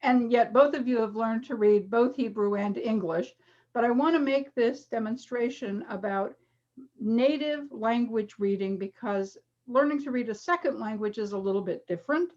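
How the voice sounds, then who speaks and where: A woman's voice: a little high, moderate pace, a little mellow. A middle-aged woman teacher explaining a reading demonstration in a friendly, casual way with many fillers. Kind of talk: casual online lecture. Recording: dull lo-fi video call audio.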